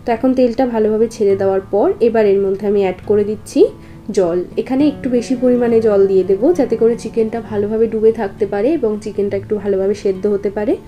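A woman's voice: medium pitch, fairly even intonation, quick pace, mellow. A woman narrating a recipe step by step as a cooking host, in an instructional, explanatory way. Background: guitar background music.

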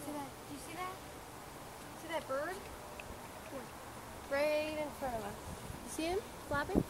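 A woman chatting playfully on a walk through an outdoor park, recorded on a handheld camera, often speaking in a hushed voice. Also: sits high, a little slow, very noisy. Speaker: family member on a walk. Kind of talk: casual chat.

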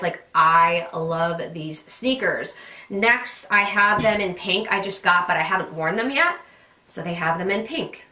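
A woman vlogger in her thirties talks brightly and chattily, tossing in plenty of fillers as she gushes. She sounds slightly stuffed up and nasal. Her voice rings in a roomy, echoing home studio. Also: mid-range voice. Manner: chatty, gushing, many fillers. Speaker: beauty vlogger. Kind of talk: casual product recommendations to camera. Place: pink bedroom studio with vanity mirror and lit tree.

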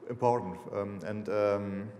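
A man's voice: fairly low pitch, steady tone, unhurried, warm tone, slight background noise. A German-accented man in his fifties, a native German speaker, answering audience questions about his books, hesitant, with many fillers and restarts. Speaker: author. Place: lecture hall, seated on stage. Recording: roomy lecture hall echo.

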